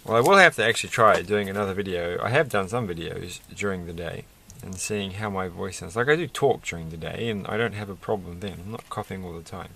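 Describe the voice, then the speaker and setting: A middle-aged man with a croaky voice, chatting casually and haltingly through a hobby build, often searching for words. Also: low voice, pushed and bright, slight background noise. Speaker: Lego build video creator. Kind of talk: casual hobby vlog, unscripted commentary while building. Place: indoors at a desk.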